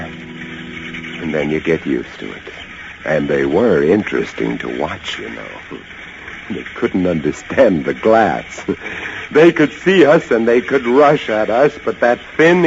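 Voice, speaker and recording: A man's voice: on the low side, exaggerated voice. An actor playing a haunted, intense lighthouse keeper, a man narrating an old-time radio horror story in a brooding, dramatic way. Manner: dramatic, brooding, swinging from hushed to feverish. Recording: muffled, noisy vintage radio recording.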